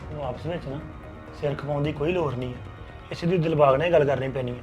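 A man's voice: a little high, quick pace, highs cut off, music in the background, close to an everyday voice. An actor playing a protective husband who reassures his crying wife and speaks firmly about the family. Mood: reassuring. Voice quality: reassuring, firm. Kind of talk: family drama acting.

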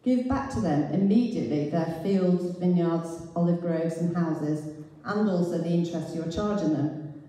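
Middle-aged woman, church talk, very low pitch, heavily echoing hall. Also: normal speed, mellow. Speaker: church speaker. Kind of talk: sermon with scripture readings. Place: large high-ceilinged church hall. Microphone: handheld mic through speakers, picked up by distant camera. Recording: very echoey hall, far from the camera.

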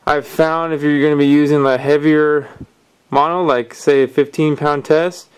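Man calmly walking through knot tying. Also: fairly high pitch, normal speed, clean tone. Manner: calm step-by-step explaining while tying. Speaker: fishing instructor. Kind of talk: hands-on knot-tying tutorial. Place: indoors at a wooden table.

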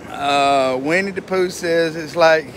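A man's voice: fairly high pitch, takes it slowly, a bit bright. A man in his fifties or sixties with a Southern US accent, chatting casually and jokily to livestream viewers in a folksy, teasing way. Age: 50s to 60s. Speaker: vlogger on a livestream, greeting viewers. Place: outdoors on a windy ocean beach. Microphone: phone at arm's length. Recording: outdoor phone audio, wind and surf noise.

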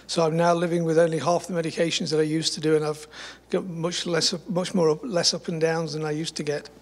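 A man in his fifties speaks matter-of-factly on a panel about his own treatment. His voice is high for a man and stays very flat in pitch. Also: fairly quick, a little hiss or hum. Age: 50s. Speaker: panelist with Parkinson's. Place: meeting hall.

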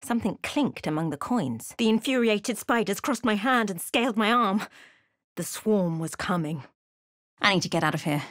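An actress playing an observant, wry woman adventurer in a fantasy video game, with measured, dramatic storytelling delivery. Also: mid-range voice, expressive, a bit bright, no echo, studio quality, lightly acted. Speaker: voice actor for a fantasy role-playing game companion or player character. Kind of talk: scripted video game voice lines, narration and character remarks.

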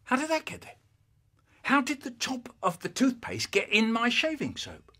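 A man's voice: very high-pitched, huge pitch swings, normal speed, pushed and bright, voice pushed up, exaggerated voice. Man acting an indignant, accusing English dad. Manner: emphatic, accusing questions. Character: indignant, interrogating father, exasperated and sharp.

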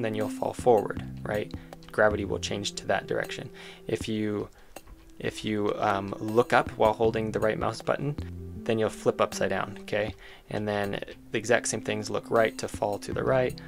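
A man's voice: on the low side, vocal fry. A man giving casual, informal demo commentary as a game developer, enthusiastic and thinking aloud as he goes. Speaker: game developer presenting own project. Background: music behind the voice.

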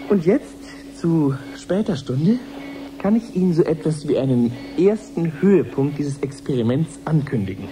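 An actor playing an eager male science reporter in a radio drama, commenting live with measured wonder. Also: fairly high pitch, animated, dark tone, slight background noise, close to an everyday voice, low-quality recording. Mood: eager, formal.

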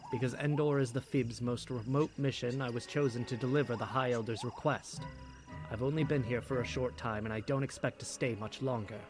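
A man voicing a composed, solemn blind priestess in a comic dub, with measured, formal and pious speech. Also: mid-range voice, fairly even intonation, close to an everyday voice. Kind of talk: dramatic comic dub narration. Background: loud music.

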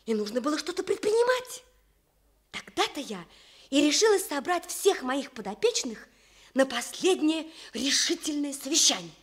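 An actress playing a principled, impassioned woman journalist, earnest and emphatic in the style of Soviet radio theatre. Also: high-pitched. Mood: earnest recounting.

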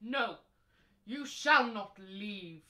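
A woman's voice: medium pitch, animated, drawn-out words, bright, forceful tone, heavily acted. A woman acting a stern, pompous old priest in a radio drama, solemn and declamatory. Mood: threatening.

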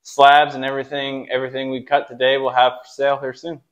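A man's voice: medium pitch, monotone, quick pace, smooth. Easygoing man co-hosting a sawmill vlog. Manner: easygoing, laughs often. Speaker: sawmill channel co-host. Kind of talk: casual workshop vlog chat. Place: large indoor sawmill shop.

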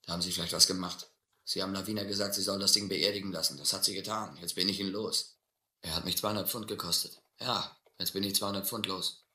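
An actor playing a desperate, educated drifter who drinks hard, rambling and pleading in halting bursts in a radio adventure play. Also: male voice, low voice, fairly even intonation, pushed and bright, reverberant. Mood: bargaining. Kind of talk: German audio adventure drama.

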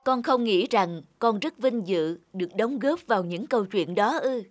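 A woman playing a wise, motherly nature spirit in a children's cartoon, speaking in a calm, measured, instructive way. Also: medium pitch, natural voice. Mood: reassuring. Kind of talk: dubbed children's cartoon dialogue. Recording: loud music behind. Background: loud music.

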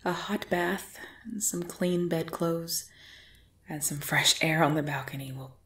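A woman playing a commanding, possessive vampire ruler and lover in an ASMR roleplay drama, speaking in a formal, imperious, measured way. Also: low-pitched, fairly bright tone. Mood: quiet, musing.

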